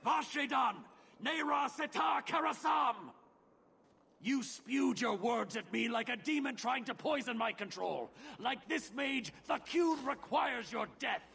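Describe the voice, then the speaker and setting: An actor playing a stern, zealous warrior-enforcer in a fantasy video game, speaking formally and with command. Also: male voice, extremely high pitch, measured pace, vocal fry, bright, forceful tone, husky, full character voice. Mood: outraged. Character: stern, zealous adult male warrior-enforcer of a rigid creed.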